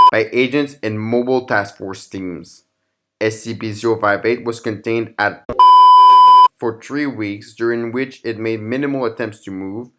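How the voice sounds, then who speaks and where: A man's voice: lowish voice, fairly bright tone. A man hosting and narrating scripted horror fiction in the form of documents and logs. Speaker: narrator and channel host.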